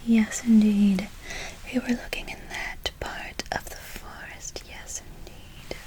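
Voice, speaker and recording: A woman playing a kindly, mysterious forest witch in a slow, breathy whisper, for an ASMR role play with soothing repeated words and quaint phrasing. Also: medium pitch, mellow, rough recording. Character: kindly, mysterious female forest witch casting good-luck spells.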